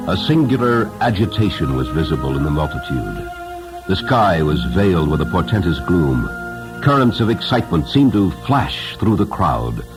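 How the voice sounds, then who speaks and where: A man with a very low voice reads a story aloud as a dramatic narrator. His delivery is solemn and deliberate, with wide, expressive swings in pitch, and music plays clearly behind him. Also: poor audio. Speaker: narrator, audiobook reader.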